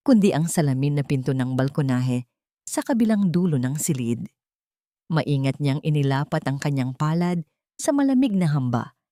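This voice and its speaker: Low-voiced woman narrating a dramatic story. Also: mellow, no echo, clean studio sound.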